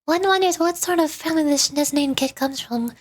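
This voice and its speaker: High-voiced playful woman streamer. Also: fairly quick. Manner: playful, switches voices for characters. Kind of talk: reading game dialogue aloud. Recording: game music behind.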